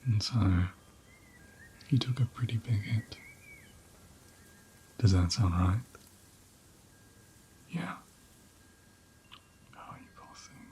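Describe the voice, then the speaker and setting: A man as a slow, hushed, protective ram. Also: lowish voice, a little hiss or hum. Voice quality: soft, breathy, often whispered. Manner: slow, soothing, reassuring. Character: gentle protective head ram tending an injured lamb. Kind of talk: ASMR roleplay.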